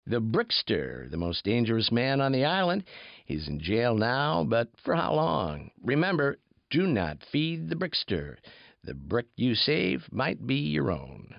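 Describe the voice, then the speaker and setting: An actor playing a cartoon radio news announcer in a children's game, theatrical and mock-serious in delivery. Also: male voice, medium pitch, huge pitch swings, measured pace, vocal fry, full character voice. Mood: mock warning. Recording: dull lo-fi game audio.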